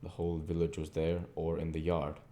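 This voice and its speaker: A man reading aloud as a narrator, in a measured, literary manner. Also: very deep voice, completely flat delivery, dark tone. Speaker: audiobook reader, channel host. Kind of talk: scripted narration of a classic novel.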